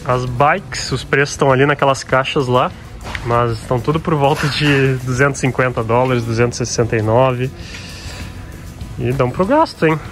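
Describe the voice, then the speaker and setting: Um homem jovem, brasileiro, que grava um vlog descontraído numa grande loja, comentando preços com jeito brincalhão e falante. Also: male voice, mid-range voice, normal speed, over music. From Brazil. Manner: chatty, jokey, lists prices out loud. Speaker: travel vlogger. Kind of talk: casual store walkthrough vlog. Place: large indoor department store.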